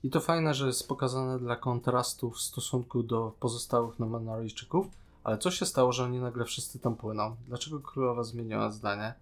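A man's voice: mid-range voice, fairly even intonation, brisk. A man co-hosting a podcast in casual conversation, explaining his opinions and asking questions. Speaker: podcast co-host. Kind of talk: casual chat, film and series discussion.

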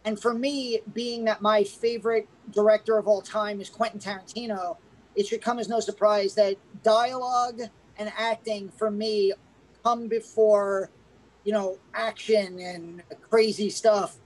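A man's voice: sits high, steady tone, unhurried, low-quality recording. A man co-hosting a casual movie review podcast, talking in a rambling way with lots of restarts. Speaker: podcast co-host.

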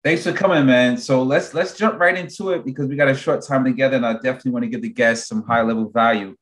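A man's voice: medium pitch, steady tone, fast talker, fairly bright tone. A man hosting an interview podcast, chatting in an upbeat, conversational way. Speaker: podcast host.

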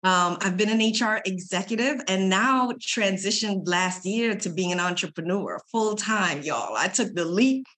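A woman's voice: mid-range voice, animated, moderate pace, few pauses, fairly bright tone. A woman in her forties from Trinidad and Tobago, speaking conversationally as an interview guest. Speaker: podcast guest, HR consultant and coach. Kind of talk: conversational interview, introducing herself.

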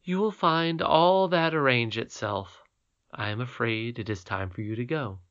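Guy voicing a wise old storybook great-great-granny, calm and gentle, muffled lo-fi sound. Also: medium pitch, a little slow, clear, resonant tone, lightly acted. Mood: tender. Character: ancient kindly great-great-grandmother, calm and wise.

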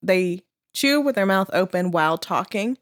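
A woman's voice: medium pitch, normal speed, dry recording. Young woman, jokey co-host, dry mic. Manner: jokey, relaxed banter. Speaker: podcast co-host. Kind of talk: casual chat. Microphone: studio podcast mic.

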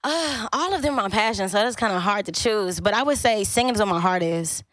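A young woman with a raspy voice, a singer chatting casually as a guest. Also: mid-range voice, expressive, a little fast, fairly bright tone, dry recording. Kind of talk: casual interview chat.

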